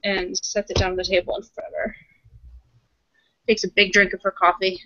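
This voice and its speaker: A woman with a medium-pitched voice improvises as a tabletop roleplayer. She talks informally and corrects herself as she goes. The audio is dry and a little muffled. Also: little pitch movement, normal speed, pushed and bright, no room sound. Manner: informal, loose, self-correcting chatter. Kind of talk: casual improvised roleplay and narration.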